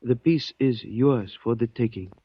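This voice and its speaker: Measured, cryptic Chinese shopkeeper, old radio. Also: male voice, mid-range voice, husky, no room sound. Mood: polite, firm. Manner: formal, measured, philosophical. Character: calm, cryptic, courteous Chinese antique shopkeeper. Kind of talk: 1940s radio drama acting. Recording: dull old 1940s radio recording.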